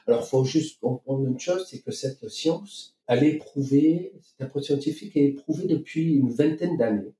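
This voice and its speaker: A man's voice: medium pitch, normal speed, few pauses, mellow. A man from France answering interview questions in a hesitant, explanatory, conversational way. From France, Marseille. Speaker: interview guest, company co-founder. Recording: echoey room, distant from mic.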